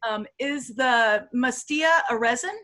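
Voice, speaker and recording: A woman moderating a webinar over a video call, reading out audience questions in a brisk, matter-of-fact way. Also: fairly high pitch, sharp tone.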